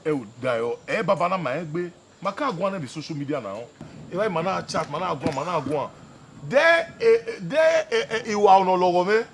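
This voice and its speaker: A hot-tempered Nigerian husband in a screen drama. His voice is high for a man and swings widely as he hammers his points by repeating them. Faint noise sits behind the location recording. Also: expressive, brisk. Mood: disgusted, venting. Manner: emphatic, repeats himself, mixes English with local language. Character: hot-tempered husband venting to his friend about women.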